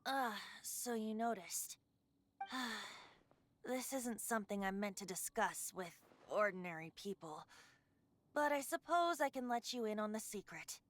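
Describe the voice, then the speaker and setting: A woman's voice: medium pitch, expressive, measured pace, lightly acted. A woman voicing a carefree ancient wind god in the form of a youthful male bard, speaking in an airy, wistful storyteller manner for a video game. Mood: playful, confiding. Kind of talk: scripted video game voice acting.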